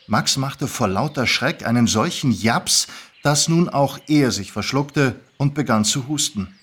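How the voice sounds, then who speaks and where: Mid-pitched male storyteller. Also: lively intonation, unhurried, a bit bright, breathy edge. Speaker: narrator. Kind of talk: expressive scripted story reading.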